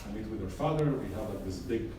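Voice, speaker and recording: A middle-aged photographer from Israel talks through his portraits in a loose, joking way, full of ums and asides. He is caught from a distance in a very echoey room with clear background noise. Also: fairly low pitch, lively intonation, fast. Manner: casual storytelling with frequent fillers and wry humor. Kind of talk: artist talk about his photographs. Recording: echoey room, mic far off, noisy.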